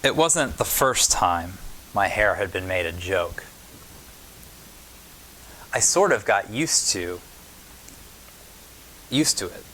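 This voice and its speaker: A man reading a children's picture book aloud as a pastor at storytime, in measured short phrases with pauses. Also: low voice, lively intonation, pushed and bright, a little hiss or hum, rough recording.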